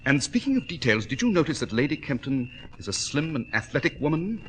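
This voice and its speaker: Incisive British gentleman detective, clipped and commanding, sharp-edged voice with sweeping intonation, old radio mystery. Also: fairly high pitch, big pitch swings, brisk, a little hiss or hum. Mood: brisk, intent. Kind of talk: 1940s radio acting.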